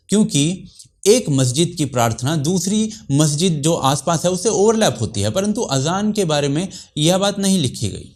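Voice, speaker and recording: A male presenter narrates a scripted explainer. His voice sits a little high and moves widely in pitch, giving the delivery an animated feel.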